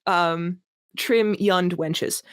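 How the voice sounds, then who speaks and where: A woman's voice: lowish voice, steady tone, very slow, clear, resonant tone, no echo. A woman hosting an amused comic reading aloud, giggly and mock-dramatic, adding her own commentary. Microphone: online voice call. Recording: dry voice chat audio.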